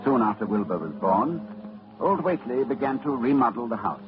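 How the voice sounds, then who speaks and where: A man's voice: medium pitch, big pitch swings, fairly quick, close to an everyday voice. An actor narrating as a learned, grave man in a 1940s-style radio horror drama, telling the tale dramatically. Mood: solemn. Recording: dull old radio broadcast with music.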